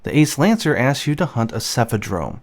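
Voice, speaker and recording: A man's voice: mid-range voice, sing-song, a little fast. A man giving scripted, explanatory narration of a video game's story. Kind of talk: scripted explanatory narration over game cutscenes. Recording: music behind the voice. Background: game music.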